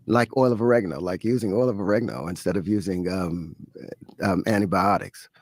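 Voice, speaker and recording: A man in his fifties, a guest on a podcast, with a lowish voice. He talks easily and laughs often, recorded close and dry. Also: fairly quick, no echo. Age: 50s. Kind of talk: casual podcast conversation.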